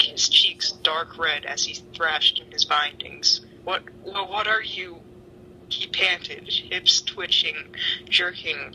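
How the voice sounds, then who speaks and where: A woman's voice: low-pitched, bright, forceful tone, a little hiss or hum, low-quality recording. A young woman reading fan fiction dramatically and chatting in slang with friends.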